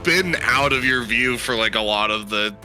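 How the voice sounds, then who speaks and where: A man playing a tabletop roleplaying game, casually narrating his character's moves and haltingly thinking aloud. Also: medium pitch, sharp tone, soft background music.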